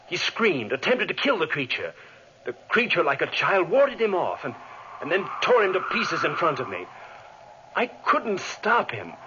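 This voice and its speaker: An actor playing a tormented, guilt-ridden scientist with a husky voice in melodramatic 1940s radio style. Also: male voice, fairly high pitch, bright, forceful tone, slight background noise. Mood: anguished confession. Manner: melodramatic, anguished. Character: tormented, guilt-ridden male scientist who created a monster. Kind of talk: 1940s radio acting with dramatic narration. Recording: dull 1940s radio recording.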